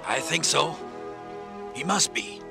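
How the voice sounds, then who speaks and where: A gruff, earnest old man speaks in a high, very creaky voice. He is plain-spoken and kindly, with music under this storybook drama. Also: animated, slow pace, heavily acted. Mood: resigned. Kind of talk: dramatised children's read-along storybook.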